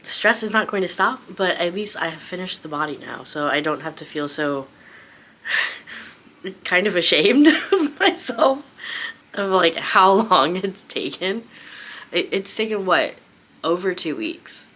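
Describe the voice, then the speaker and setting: Young woman, book vlogger: low voice, informal and rambling, off-the-cuff chat. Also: expressive, a little slow, fairly bright tone. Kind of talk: casual unscripted chat.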